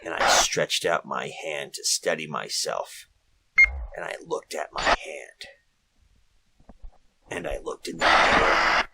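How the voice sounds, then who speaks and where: An actor playing a brooding, murderous robot with a human mind, narrating slowly and menacingly in a retro radio drama. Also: male voice, extremely low pitch, animated, noisy background, heavily acted. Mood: dazed, haunted. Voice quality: gravelly. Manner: deliberate, halting, menacing. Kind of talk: retro 1940s radio drama acting, first-person narration.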